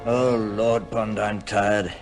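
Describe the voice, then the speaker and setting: An actor in an old-time radio drama playing a weary, irritable defence lawyer with a sardonic, formal manner. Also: male voice, fairly low pitch, steady tone, slow pace, over loud music. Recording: noisy old radio recording.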